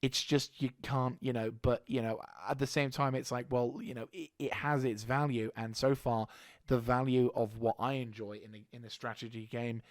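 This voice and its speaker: A man with a slightly hoarse throat giving a casual channel update as a gaming YouTuber, rambling and halting with frequent restarts. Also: mid-range voice, steady tone, dry recording, clean studio sound.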